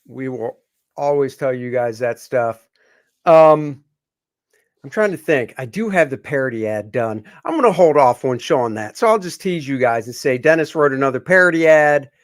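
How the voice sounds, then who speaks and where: A man's voice: medium pitch, normal speed. A man co-hosting a casual livestream, rambling and joking with plenty of fillers. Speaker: comic artist co-host.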